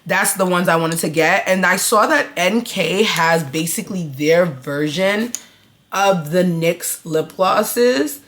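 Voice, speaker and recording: A young woman in her early twenties with a low voice, talking straight into her phone camera. She talks to her viewers in a blunt, emphatic way, as if chatting with friends. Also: slow, a bit bright. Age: 20s. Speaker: vlogger. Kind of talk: casual vlog talk to camera.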